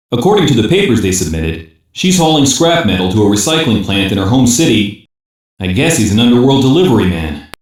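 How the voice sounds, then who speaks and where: A man's voice: medium pitch, lightly acted. An actor playing a cool, matter-of-fact male police operative, giving terse field reports in dubbed anime game dialogue. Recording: very echoey room sound.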